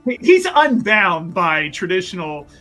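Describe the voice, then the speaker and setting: A man describing his character in a tabletop roleplaying game, his voice bright and slightly raised in pitch. He rambles and restarts often, stacking fillers as he thinks aloud, with faint music playing underneath. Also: big pitch swings, unhurried, poor audio. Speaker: player. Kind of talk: actual-play tabletop roleplay.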